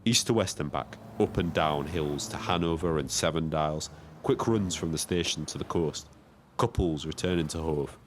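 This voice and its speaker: An actor playing a lonely, observant young British taxi driver, narrating in a measured, wry, literary way. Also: male voice, rumbling low, a little hiss or hum. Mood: wry, reflective. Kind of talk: scripted first-person narration.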